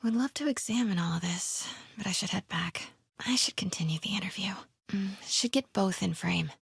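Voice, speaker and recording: Casting: a young female investigative reporter for a game, plucky and nosy, very breathy voice, very dry sound. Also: medium pitch, moderate pace, no echo. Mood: hushed, focused. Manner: hushed muttering to herself. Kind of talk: video game voice acting.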